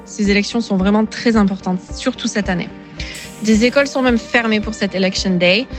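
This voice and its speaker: A woman in her thirties from France, giving a clear, steady testimony as an interviewee. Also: mid-range voice, a little fast, soft background music. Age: 30s.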